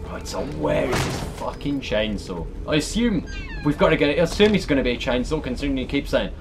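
A man's voice: mid-range voice, lively intonation, a little fast, music in the background. A British man, around his thirties, giving casual, sweary, exasperated reaction commentary as he plays a horror game. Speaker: let's player. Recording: clear background noise and game ambience.

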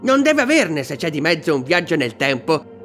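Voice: medium pitch, sing-song, moderate pace, fairly bright tone, soft background music. A performer voicing a sardonic, boastful, battle-worn animatronic rabbit in a melodramatic, mocking cartoon dub. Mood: grim.

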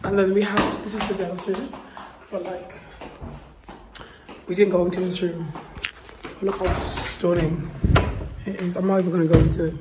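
A woman in her twenties, hoarse after losing her voice, giving a casual vlog tour of a house while filming herself on a phone held at arm's length. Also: lowish voice, level tone, moderate pace, long pauses. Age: 20s. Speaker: vlogger. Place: large house and garden, indoors and outdoors. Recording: phone audio with clear background noise.

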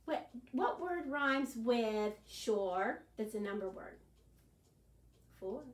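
A woman in her sixties, a children's librarian leading an animated, sing-song storytime from an armchair in a living room. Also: fairly high pitch. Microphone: camera at a distance. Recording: echoey room, far from the mic.

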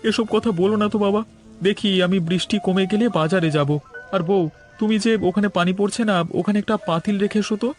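An actor playing a poor, dutiful young husband and son in a cartoon story, earnest and anxious. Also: male voice, high voice, steady tone, quick pace, soft background music, natural voice. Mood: conciliatory.

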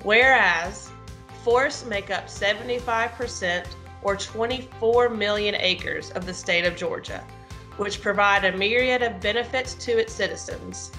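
Bright young woman reading formally. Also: medium pitch, measured pace, faint music behind. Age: young adult. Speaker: forestry employee reading a resolution. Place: home room indoors. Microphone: webcam video call.

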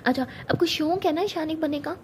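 A woman's voice: sits high, moderate pace, a little mellow, slight background noise, natural voice. A woman voicing a grown woman professor who teases her younger lover fondly and bosses her around. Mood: fond, bossy. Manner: teasing, fondly mocking. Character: teasing grown woman professor, fond and amused.

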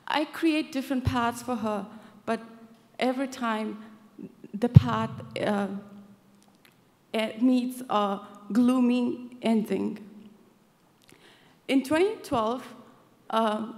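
A young woman from Afghanistan giving an earnest personal speech at an awards event, halting often with fillers. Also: a little high, creaky. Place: large event hall. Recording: hall reverb from a stage mic.

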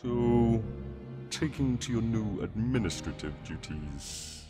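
A man playing a composed, dry companion to a regent, unhurried and understated, in a fantasy audio drama. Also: fairly low pitch, expressive, mellow, soft background music.

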